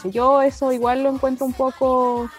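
A woman co-hosting a radio show, earnestly explaining a topic in a measured way. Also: sits high, little pitch movement, soft background music.

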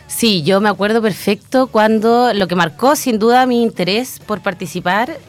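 A woman in her thirties with a Chilean accent, a guest talking through her story in a relaxed interview, pausing often with fillers. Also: medium pitch. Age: 30s. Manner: reflective, frequent hesitation fillers. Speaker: guest, newly elected council member. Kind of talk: casual interview conversation.

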